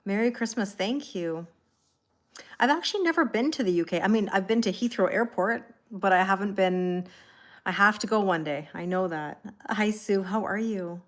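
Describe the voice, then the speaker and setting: A Canadian woman in her thirties hosts a live stream in a casual, chatty way. She greets viewers one after another at a middle pitch. Her talk is friendly, with frequent laughs and easy asides. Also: normal speed. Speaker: tarot reader hosting a live stream.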